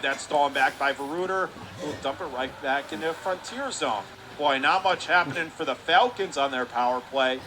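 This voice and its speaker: A man calling live hockey play-by-play on radio, his calls climbing into shouts when the play heats up. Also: on the high side. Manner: rapid calls rising to shouts on big plays. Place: hockey arena broadcast booth. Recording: noisy arena background.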